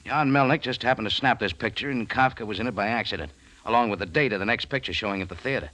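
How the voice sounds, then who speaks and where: An actor playing a tough, wisecracking American cafe owner in a 1940s radio detective drama, terse and wry. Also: male voice, fairly low pitch, fast, sharp tone, rough recording. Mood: sharp, probing. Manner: hard-boiled, terse, wry. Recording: vintage radio recording with music.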